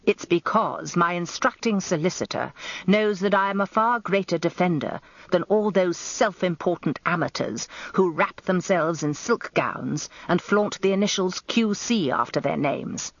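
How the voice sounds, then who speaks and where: An actor playing a pompous, grumbling old barrister in a radio drama, British, with a grandiloquent and plaintive way of talking. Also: male voice, high voice, unhurried, creaky, a bit bright, dry recording, dull audio, heavily acted. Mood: boastful. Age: older. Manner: grandiloquent, plaintive, self-important.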